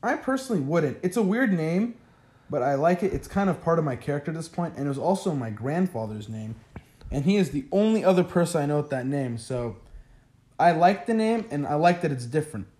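A man's voice: fairly high pitch, very animated, fairly quick, warm tone. A young man, a college freshman whose first language is Arabic, answering interview questions in a chatty way with lots of "like" fillers. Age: young adult.

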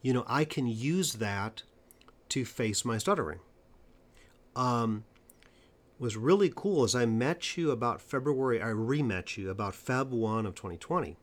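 A man interviewing a guest in a conversational way, stressing points emphatically and slowing down for them. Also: mid-range voice, lively intonation. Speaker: interviewer and stuttering coach.

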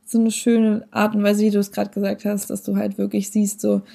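Young woman on a podcast, gravelly mellow voice, slangy, keeps rephrasing herself. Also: medium pitch, completely flat delivery, a little fast. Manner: casual slangy, corrects her own wording mid-sentence. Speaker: podcast guest. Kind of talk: casual podcast chat.

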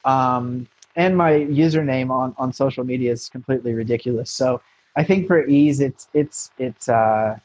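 A man's voice: mid-range voice, normal speed, darkish tone, dry recording, highs cut off. An American man, a marketing consultant, talking frankly and rambling in a casual interview with many restarts and fillers.

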